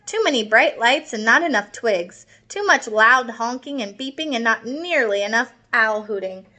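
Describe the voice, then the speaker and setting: A woman's voice: a little high, expressive, normal speed, sharp tone. A woman reading a picture book aloud to children expressively, with explanatory asides to her listeners. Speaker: storybook reader.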